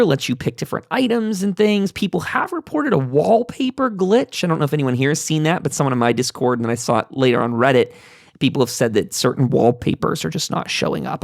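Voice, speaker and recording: A man's voice: fairly high pitch, vocal fry, no room sound, studio quality. A man hosting a casual roundtable, reading notes aloud and commenting in a chatty, digressive way. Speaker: podcast host.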